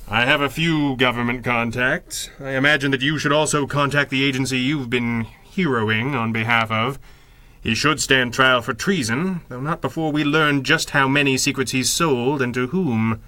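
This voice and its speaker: An actor playing a suave, coolly superior masked crimefighter with precise, condescending delivery in a 1930s-style radio serial. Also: male voice, medium pitch, moderate pace, bright, forceful tone, lightly acted. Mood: coolly explanatory.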